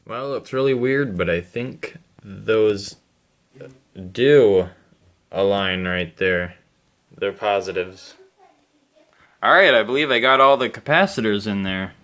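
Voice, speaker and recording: Male hobby repairer, lowish pitch, very unhurried pace, casual off-the-cuff narration, halting and musing. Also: a bit bright. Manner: thinking aloud, musing and puzzled, halting. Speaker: hobbyist repairing a vintage radio on video. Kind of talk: casual unscripted workbench commentary.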